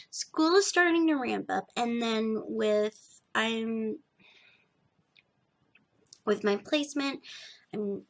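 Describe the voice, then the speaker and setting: A young woman with a slightly gravelly edge, chatting casually and emphatically as a podcast host, often restarting her sentences. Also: fairly high pitch. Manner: animated, emphatic, self-interrupting. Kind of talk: casual rambling chat to viewers.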